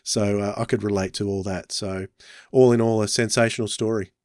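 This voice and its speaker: A man's voice: fairly low pitch, steady tone, a little fast, a little mellow, no room sound, clean studio sound. An Australian man hosting a podcast in casual conversation, thinking aloud as he talks. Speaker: podcast host. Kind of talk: casual chat.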